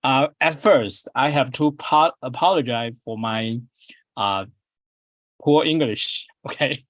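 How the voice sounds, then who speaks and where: Middle-aged taiwanese guy, english not his first language, slow and halting, lots of uhs, dry sound. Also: medium pitch, a bit bright, no room sound. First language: Chinese. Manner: halting, many fillers and restarts. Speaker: dentist giving a guest talk. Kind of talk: prepared presentation on a video call.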